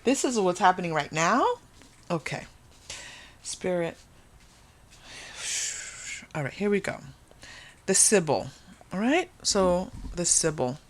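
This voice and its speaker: A woman's voice: lowish voice, sing-song, slow, pauses often. A woman hosting an unscripted spiritual tarot reading, speaking earnestly and emphatically to viewers, often repeating and rephrasing her phrases. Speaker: tarot reader hosting a channel.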